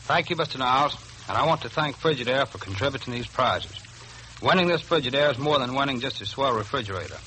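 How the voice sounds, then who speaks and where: An American man speaks as a sergeant guest in a vintage radio interview. He reads politely and quickly in a bright, forceful voice, with faint hiss on the old recording. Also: medium pitch, expressive, low-quality recording. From Florida, United States. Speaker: army sergeant, prize-winning guest.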